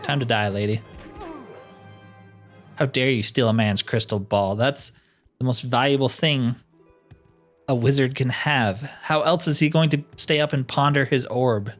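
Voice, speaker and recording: Male gaming host, mid-range voice, wry and casual, thinking aloud over music. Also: mid-range voice. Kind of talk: casual solo video game playthrough commentary. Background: game music.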